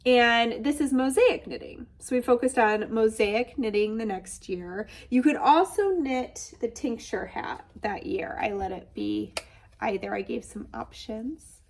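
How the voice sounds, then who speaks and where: A woman with a Michigan accent chats bubbly and casually about her craft, full of asides. Her voice sits fairly high and comes out congested and a little nasal, like someone with a cold. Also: expressive, a little slow. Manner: chatty, bubbly, with many asides. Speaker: knitting podcast host. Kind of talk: casual chat.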